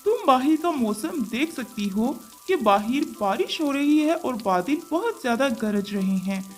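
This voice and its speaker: A woman's voice: high-pitched, expressive, a little slow. A woman voicing a polite male bird traveller who pleads courteously for shelter in a children's cartoon story. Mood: polite pleading.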